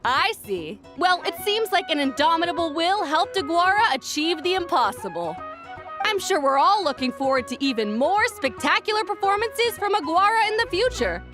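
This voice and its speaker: A woman's voice: extremely high pitch, sing-song, normal speed, a bit bright, soft background music, heavily acted. A woman voicing an upbeat tournament announcer and interviewer in a video game, with a showy, polished presenter delivery. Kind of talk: animated game voice acting, event announcing.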